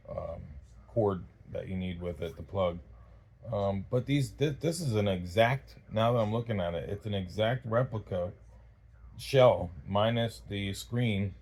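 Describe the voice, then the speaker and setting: A man giving a casual, unscripted gear review in a halting, rambling way, often backtracking mid-thought. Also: low-pitched, a little mellow. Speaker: host of a radio gear review channel.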